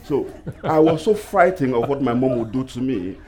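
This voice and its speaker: Cameroonian man, mid-pitched, dramatic personal storyteller at a talk. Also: lively intonation, darkish tone. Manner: dramatic, vivid storyteller who slows down for weight. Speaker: panel guest.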